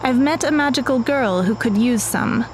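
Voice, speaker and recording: A woman's voice: on the high side, moderate pace, a little hiss or hum, close to an everyday voice. A woman playing a composed, guarded teenage magical girl in an audio drama, precise and matter-of-fact.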